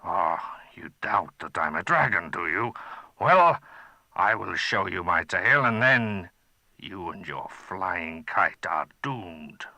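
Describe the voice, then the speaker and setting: A man voicing a cruel, cunning dragon in a storybook reading, with a gravelly, mocking manner. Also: low-pitched, big pitch swings, slow, pushed and bright, no echo, exaggerated voice. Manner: mocking, gloating, wailing when scared.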